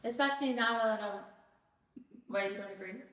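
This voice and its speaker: A woman's voice: medium pitch, normal speed, fairly bright tone. A woman of about nineteen co-hosting a podcast with her mother, chatty and playful, acting out what other people say. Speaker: co-host, daughter of host. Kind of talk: casual personal conversation. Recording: roomy, distant from the mic.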